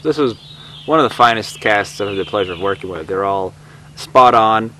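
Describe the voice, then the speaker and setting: A man's voice: lowish voice, normal speed, sharp tone. A man speaking as a director in an informal, reflective documentary interview. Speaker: audio drama director. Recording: faint background hum.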